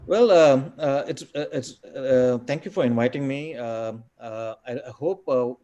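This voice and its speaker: A middle-aged man who grew up in India and is a native Tamil speaker, answering webinar questions on a video call, halting with many fillers and repeated words. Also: medium pitch, dark tone. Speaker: guest speaker.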